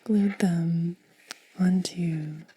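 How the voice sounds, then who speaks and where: A woman hosting ASMR, speaking softly in a breathy, hushed whisper and lingering over short phrases. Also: lowish voice, steady tone, extremely slow. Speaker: ASMR video host. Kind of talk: soft-spoken ASMR.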